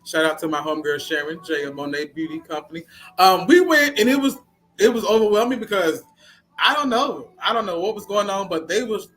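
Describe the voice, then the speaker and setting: High-voiced man chatting as a guest. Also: a little fast, a bit bright, rough recording. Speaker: interview guest, hairstylist. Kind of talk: casual conversational interview.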